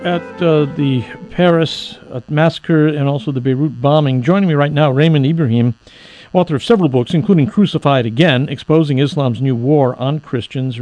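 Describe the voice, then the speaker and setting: A man's voice: medium pitch, darkish tone, dry recording, rough recording. A man hosting a radio talk show, asking earnest, exasperated questions with frequent restarts. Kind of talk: radio interview.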